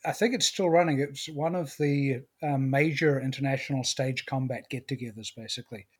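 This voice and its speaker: A middle-aged man with a New Zealand accent, a guest in a casual interview, talking in a relaxed, thoughtful way. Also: medium pitch, moderate pace, breathy edge.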